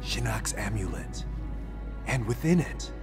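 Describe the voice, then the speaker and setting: An actor playing a serious, watchful warrior in a video game, with a sober, formal delivery. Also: male voice, on the low side, big pitch swings, unhurried. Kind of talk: video game cinematic dialogue. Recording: long hall reverb, loud music behind.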